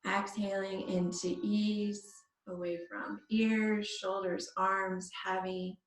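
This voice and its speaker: A woman yoga teacher leading a guided body scan meditation, speaking in short phrases with pauses between them. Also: medium pitch, level tone, soft background music. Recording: echoey room, distant microphone.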